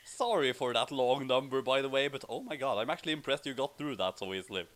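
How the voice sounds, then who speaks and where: A man's voice: medium pitch, quick pace, a bit bright. A man giving casual, conversational commentary as the author of a story.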